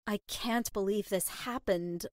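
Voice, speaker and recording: A woman's voice: mid-range voice, measured pace, dry recording, clean studio sound, close to an everyday voice. An actress playing a reluctant, conscientious woman caught up in a robbery, in an audio drama. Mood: dejected.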